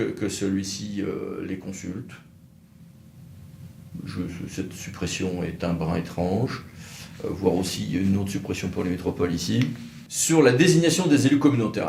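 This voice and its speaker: A man giving explanatory legal commentary as an expert presenter, halting at times, with wry, sceptical asides. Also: sits low, creaky, darkish tone. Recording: roomy echo, faint background noise.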